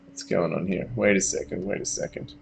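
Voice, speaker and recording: Deep gravelly Australian man streaming. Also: fairly even intonation, fairly quick, a little hiss or hum. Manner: thinks aloud, chats with viewers, yelps when startled. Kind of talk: casual live gameplay commentary.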